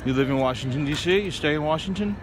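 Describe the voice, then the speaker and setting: A man's voice: fairly high pitch, fast. A man filming in the street and interviewing passers-by with brief, direct questions. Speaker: documentary filmmaker interviewing people. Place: city street outdoors. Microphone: handheld camera mic, behind the camera. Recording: street noise outdoors.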